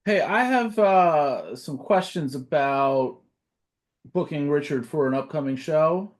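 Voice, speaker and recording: A man's voice: fairly high pitch, takes it slowly. A man acting as a test caller, talking casually with unscripted questions.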